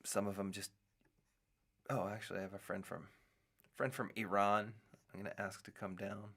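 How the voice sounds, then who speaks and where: Gravelly low-voiced man musing. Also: level tone, moderate pace, a bit bright. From United States. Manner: rambling, musing, many restarts and fillers. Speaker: podcast host. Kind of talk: casual solo talk.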